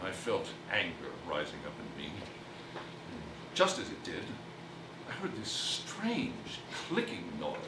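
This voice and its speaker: An actor playing a gruff, proud toy merchant turning soft-hearted, speaking formally and haltingly in a stage musical. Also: male voice, low voice, huge pitch swings, background noise, exaggerated voice. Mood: sheepish, halting. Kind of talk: theatrical stage musical acting. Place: theatre stage, distant microphone. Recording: echoey stage recording from far off, music behind.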